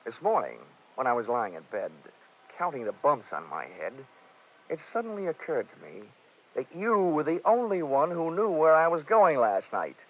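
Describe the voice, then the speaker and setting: Wry hard-boiled detective, tinny old radio. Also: male voice, a little high, very animated, moderate pace. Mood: dry, needling. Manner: sardonic, wisecracking. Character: wry, hard-boiled male insurance investigator, cool and needling. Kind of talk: 1950s radio detective acting and narration. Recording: tinny, hissy 1950s radio recording.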